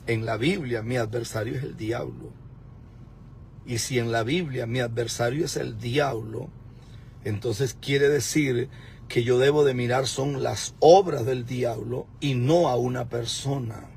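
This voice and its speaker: Fifties male preacher, gravelly, emphatic, streaming live from a car with some road noise. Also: medium pitch, fairly even intonation, a little slow, a little mellow. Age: 50s. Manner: emphatic, repeats words for stress. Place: in a car at a pay point.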